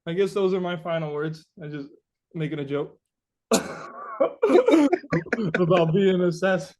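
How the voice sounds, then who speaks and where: A man's voice: sits high, animated, takes it slowly, a little mellow. A man being interviewed as a musician, chatting casually and reflectively, searching for his words with informal, sweary phrasing.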